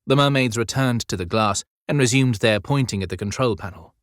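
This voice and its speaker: A man's voice: mid-range voice, brisk, fairly bright tone, no room sound, studio quality. A man narrating a scripted adventure story as an audiobook narrator.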